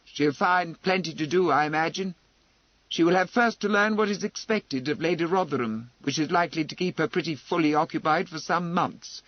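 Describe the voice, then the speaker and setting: A man's voice: a little high, normal speed, dry recording, highs cut off, natural voice. A man voicing a cold, unbending aristocratic lord with a curt, matter-of-fact manner in a novel reading. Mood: unyielding.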